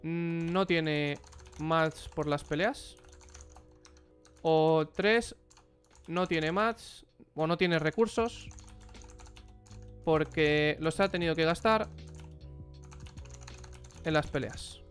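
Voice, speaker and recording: A man's voice: fairly high pitch, extremely slow, long pauses, faint music behind. A young man with a Spanish accent from Spain, giving blunt, informal live-stream commentary on a headset and answering chat questions. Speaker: streamer reviewing a player's matches.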